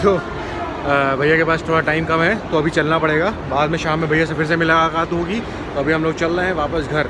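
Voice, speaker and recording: A young man talks fast and excitedly over his own bowling game, his voice fairly high. He films himself on a phone held at arm's length. Loud crowd and alley noise surround him. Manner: excited, chatty play-by-play. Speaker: vlogger. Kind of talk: casual vlog chat. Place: busy indoor bowling alley. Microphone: phone camera held at arm's length.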